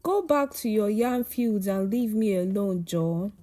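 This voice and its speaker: A woman's voice: medium pitch, lively intonation, measured pace, mellow, natural voice. A woman voicing a proud, scornful, beautiful young village woman in a folktale, with a haughty manner. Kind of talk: folktale storytelling dialogue.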